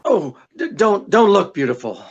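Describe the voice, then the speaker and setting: An actor performs a wisecracking male sleuth from a 1940s radio play, swinging freely in pitch. He is sardonic and unruffled, teasing with small hesitations. The recording sounds dull and muffled. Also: big pitch swings, normal speed, vocal fry, a little hiss or hum. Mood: wry, amused. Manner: droll, sardonic, chuckling hesitations. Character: wisecracking, unflappable male amateur detective, teasing and amused.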